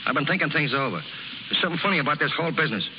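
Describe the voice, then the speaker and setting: A man's voice: on the high side, expressive, very fast, natural voice. An actor playing a tough, protective circus canvas man with blunt, slangy talk in a 1930s radio drama. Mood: concerned, coaxing. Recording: muffled, noisy 1930s radio recording.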